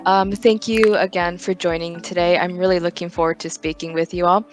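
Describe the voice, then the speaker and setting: An American interviewer casually hosting an online roundtable, speaking with many hesitant ums. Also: male voice, high-pitched, level tone, brisk, soft background music. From United States. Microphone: video call.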